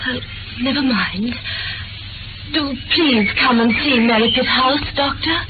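An actress playing an anxious, secretive gentlewoman, guarded and polite, in a 1940s radio drama. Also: sits high, measured pace. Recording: tinny old radio recording, loud music.

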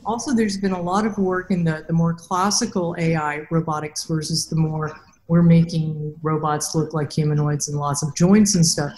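Animated middle-aged woman, echoey room. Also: moderate pace. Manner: animated, acts out quotes with big pitch jumps. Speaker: podcast host and robotics researcher. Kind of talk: casual interview chat. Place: small room with a plain wall. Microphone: headset mic on a video call.

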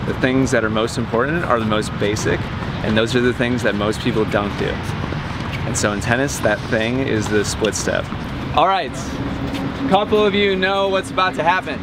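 A man in his thirties coaching a group with emphatic, deliberate instruction. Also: mid-range voice. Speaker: tennis coach and clinic host. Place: outdoor public tennis courts. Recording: loud outdoor noise, traffic and ambience.

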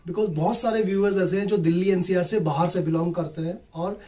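A man's voice: high-pitched, steady tone, brisk, warm tone. Indian man presenting a property walkthrough. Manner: explanatory, addresses viewers directly. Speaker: property consultant and video host. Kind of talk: property walkthrough presentation mixing Hindi and English.

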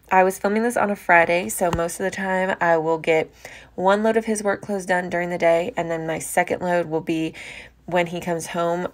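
Young American woman with a lowish voice. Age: young adult. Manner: chatty, informal. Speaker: homemaking vlogger. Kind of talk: casual voice-over narration of household chores.

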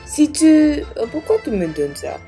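Woman, young adult, playful kids teacher, lively and high, faint music behind. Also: normal speed. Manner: playful, puts on silly accents. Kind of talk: playful scripted kids lesson.

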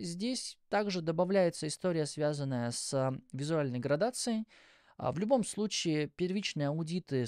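A man in his thirties talks through a presentation with lively, widely varying pitch. His delivery is conversational and explanatory, with casual turns of phrase and small pauses. The sound is close and dry, as from a studio microphone. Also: no echo. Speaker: tech conference speaker. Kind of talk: explanatory presentation with informal asides. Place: indoor studio with acoustic foam. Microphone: close microphone on a boom arm.

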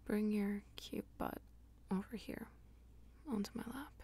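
A woman playing a dominant, teasing female vampire lover in an intimate ASMR roleplay, coaxing and breathy, often dropping toward a whisper. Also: mid-range voice, fairly even intonation, mellow, a little hiss or hum, lightly acted. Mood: seductive, teasing. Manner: slow, coaxing, teasing pet names.

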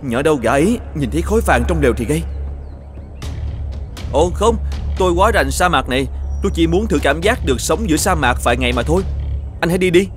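Man voicing a stubborn, desperate cartoon treasure guard, higher-pitched. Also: animated, fast talker, long pauses, faint music behind, natural voice. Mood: anxious, dismissive. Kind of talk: animated folktale dialogue.

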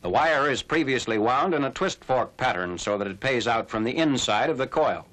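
A man narrating a scripted 1940s military newsreel in a formal, measured announcer's delivery. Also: mid-range voice, lively intonation, a bit bright. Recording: noisy old 1940s film soundtrack.

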